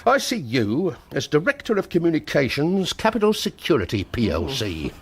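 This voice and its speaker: A pompous, wildly swooping police inspector. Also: male voice, on the high side, huge pitch swings, moderate pace, slightly rough, exaggerated voice. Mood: bossy. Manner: pompous, bossy, musing aloud. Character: pompous, scheming police inspector, bossy and self-satisfied man. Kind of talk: comic radio drama acting. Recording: noisy background with clicks.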